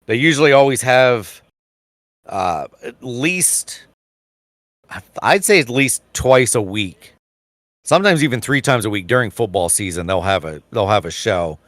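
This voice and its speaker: A man's voice: medium pitch, animated, unhurried, fairly bright tone, dry recording. A man co-hosting a podcast in casual chat, rambling with many fillers and restarts. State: losing his voice, clearing his throat. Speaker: podcast co-host.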